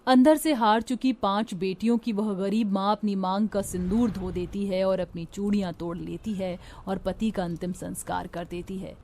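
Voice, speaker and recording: A woman's voice: medium pitch, fairly even intonation, moderate pace, hardly any pauses, mellow, a little hiss or hum. A woman narrating a scripted dramatic story as a storyteller.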